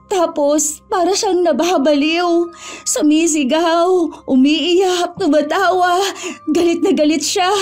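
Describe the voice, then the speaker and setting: A woman's voice: very high-pitched, a little mellow. A woman acting a frightened, clingy young woman, tearful and trembling, in a horror audio drama.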